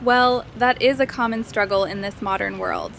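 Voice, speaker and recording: A woman's voice: mid-range voice, moderate pace, vocal fry, a bit bright, slight background noise. A woman playing an amused, forthright scientist in an audio drama, casual in manner.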